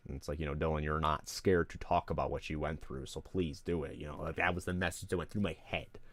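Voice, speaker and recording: Low-voiced man venting raw and profane. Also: steady tone, fast, fairly bright tone. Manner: rambling, profane, emotional. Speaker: streamer. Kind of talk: candid venting on a livestream.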